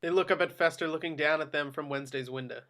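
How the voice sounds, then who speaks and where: A man narrates a screenplay at a table read. His voice sits a bit higher than average. He reads out scene headings and action descriptions as scripted narration. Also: fast, a bit bright.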